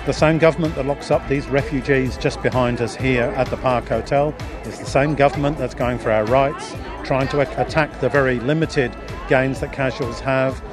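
A man's voice: mid-range voice, fairly even intonation, moderate pace, music in the background, crowd noise. A man giving an impassioned speech at an outdoor protest rally. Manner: impassioned, declaiming. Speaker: rally speaker.

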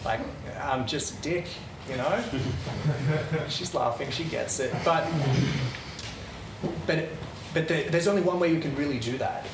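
A man's voice: on the high side, unhurried. Earnest rambling man, distant roomy recording. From Netherlands. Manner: rambling, passionate, many restarts. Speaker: speaker addressing a small group. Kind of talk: earnest unscripted talk. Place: room with a live audience. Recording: roomy, distant mic, noisy.

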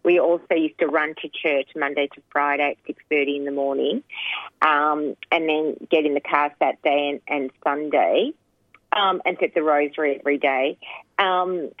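A woman in her sixties, from Sri Lanka, telling her life story in an interview, soft-spoken and hesitant with many pauses. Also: low-pitched, fairly even intonation, dry recording. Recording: dry, phone-like narrow sound.

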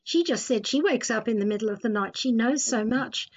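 A woman with a distinctly high voice hosts a conversational radio discussion. She talks informally, as one steering a panel. The recording is a little dull and lo-fi. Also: fairly quick, husky. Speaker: host leading a panel discussion. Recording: dull, lo-fi sound.

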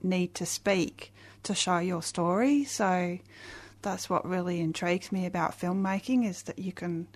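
A woman with a loud voice, a guest on a radio interview, talking eagerly with many restarts and fillers. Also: lowish voice, a little slow. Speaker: guest, community festival organizer.

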